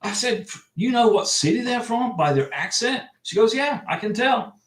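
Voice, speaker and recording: A man's voice: very high voice, animated, normal speed. Chatty man in an echoey room. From United States. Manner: chatty, rambling, reads viewer comments aloud. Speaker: livestream host giving visa advice. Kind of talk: casual livestream chat. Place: home room.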